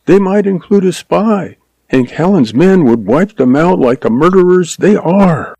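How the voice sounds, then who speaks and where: A man's voice: high-pitched, expressive, unhurried, creaky, dark tone, no echo, voice pushed up, full character voice. A man voicing an earnest, anxious young frontier woman in a western story, with a quivering, pleading delivery.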